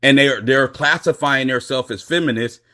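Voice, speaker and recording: A man's voice: medium pitch, moderate pace, bright, forceful tone. A man on a live panel, arguing firmly and restating his earlier points. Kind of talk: casual live-stream debate.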